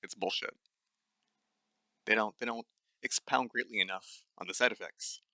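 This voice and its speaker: A man's voice: lowish voice, normal speed, bright, forceful tone, dry recording. A young adult man hosting his own nutrition podcast, speaking blunt and angry with a dry voice, swearing often and stumbling with fillers. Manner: many fillers and restarts. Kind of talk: solo ranting monologue.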